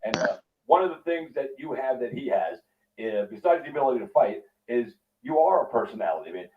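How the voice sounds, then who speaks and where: A man's voice: mid-range voice, expressive, a little fast, phone-quality sound, heavy background noise. A man of fifty-one with an American accent, hosting a casual, profane interview in streetwise slang. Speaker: interview show host. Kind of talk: casual, profane video-call chat.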